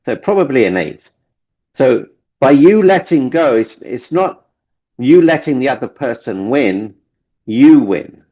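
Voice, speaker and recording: A man's voice: mid-range voice, big pitch swings, a little slow, dark tone. A man from Kenya, a relationship coach guest, speaking earnestly and repeating key phrases for emphasis. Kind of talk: motivational interview talk. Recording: dull lo-fi sound.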